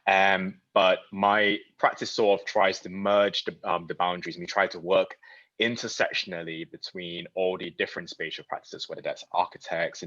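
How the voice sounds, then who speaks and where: A young man from Malaysia talks through his presentation in a low voice, loose and chatty, often restarting his sentences. The sound is dull and lo-fi, very dry, with no room around it. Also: fairly bright tone, no echo. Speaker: design studio founder giving a talk. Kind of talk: casual presentation talk.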